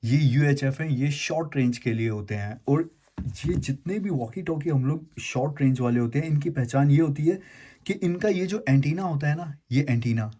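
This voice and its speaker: Husky, mellow man chatting through an unboxing. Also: fairly high pitch, moderate pace. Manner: informal, mixes English words into speech. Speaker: YouTube host unboxing and reviewing gadgets. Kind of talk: casual chatty unboxing explainer.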